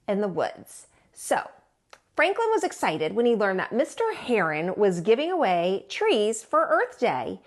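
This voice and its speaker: A woman, a teacher, reading a children's storybook aloud in an expressive way and acting out the dialogue. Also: on the high side. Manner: animated, acts out the dialogue. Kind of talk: expressive children's picture-book read-aloud.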